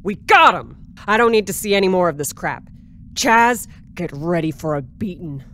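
A woman playing a blunt, impatient security officer in an audio drama, gruff and belligerent. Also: fairly low pitch, lively intonation, normal speed, a bit bright, slight background noise. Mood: angry. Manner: gruff, plain-spoken, belligerent.

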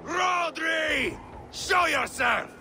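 Gravelly, slow Viking warlord. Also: male voice, on the high side, lively intonation, noisy background. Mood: furious taunting. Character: vengeful, battle-scarred Viking warlord, savage and mocking. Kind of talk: video game voice acting. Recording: outdoor game ambience over voice.

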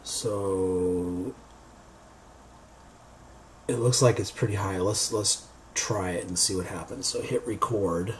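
A man giving an unscripted how-to software demonstration, thinking aloud in a halting way as he works. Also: sits low. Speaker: tutorial presenter. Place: indoors, beside a computer. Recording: homemade recording with background noise.

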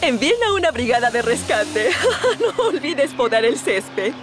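A woman playing an easygoing, cheerful nurse in a children's cartoon dub, friendly and expressive, with Latin American Spanish. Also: high voice, measured pace. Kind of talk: animated children's series dub. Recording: music under the voice.

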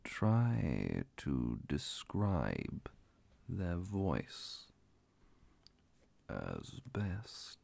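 A calm, authoritative vampire inquisitor acted out by a man for an ASMR roleplay. The delivery is extremely slow and deliberate, soft and formal, with a dark tone and heavy vocal fry. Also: a bass voice, voice pushed down, exaggerated voice. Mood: calm, probing. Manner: slow, deliberate, measured questioning.

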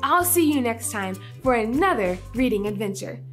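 A young woman hosting a children's read-aloud and lesson, phrasing clearly and expressively for young listeners. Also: fairly high pitch, expressive, normal speed, fairly bright tone, soft background music. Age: young adult. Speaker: storytime host.

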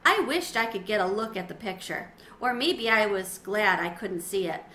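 A middle-aged woman reading a children's story aloud expressively, with clear storytelling phrasing. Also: mid-range voice, lively intonation, moderate pace, pushed and bright. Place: small windowed sunroom at home. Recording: roomy echo, faint background noise.

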